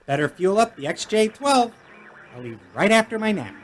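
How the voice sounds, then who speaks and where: An earnest boy space hero, acted by an adult for a radio play. The voice is innocent and gee-whiz, swinging up and down in pitch over faint music. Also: very animated, moderate pace, natural voice. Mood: earnest, eager.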